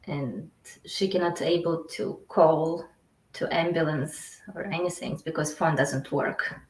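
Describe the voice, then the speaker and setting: A woman from Ukraine speaking halting, non-native English with many fillers, as a guest in a casual interview. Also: sits low, steady tone, darkish tone, echoey room, rough recording.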